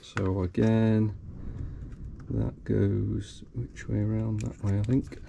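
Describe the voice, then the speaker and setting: Croaky man wiring a car. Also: on the low side, level tone, slow, noisy background. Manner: talks himself through each step, thinking aloud. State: slightly croaky. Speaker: car hobbyist. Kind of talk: casual workshop commentary. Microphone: camera mic close to his hands.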